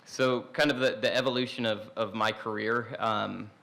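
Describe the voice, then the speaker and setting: A young man on a discussion panel, talking in a relaxed, rambling way with many restarts. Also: lowish voice, normal speed, vocal fry, slight background noise.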